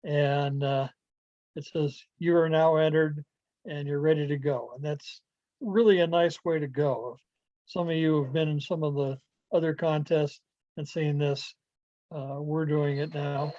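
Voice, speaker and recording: A man giving an informal online presentation to club members, explaining things in a halting, rambling way over a video call. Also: a little high, fairly even intonation, dark tone, no room sound. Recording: muffled lo-fi video call audio.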